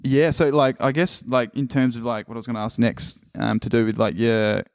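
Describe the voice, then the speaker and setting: A man's voice: mid-range voice, steady tone, fairly quick, clear, resonant tone, no echo, clean studio sound. Young man, casual rambling host. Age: 20s. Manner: rambling, swears casually, lots of fillers. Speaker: podcast host. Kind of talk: casual interview chat.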